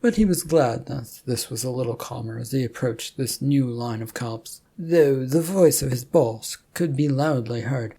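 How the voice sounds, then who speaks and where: A narrator with a husky, gravelly voice reading a scripted story. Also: mid-range voice, unhurried, hardly any pauses, dark tone.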